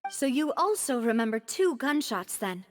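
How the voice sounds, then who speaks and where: Casting: young female game heroine, high register, determined, earnest boss; music behind. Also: sing-song, moderate pace. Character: determined young woman, grieving daughter and gang boss. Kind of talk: scripted video game voice acting. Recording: music under the voice.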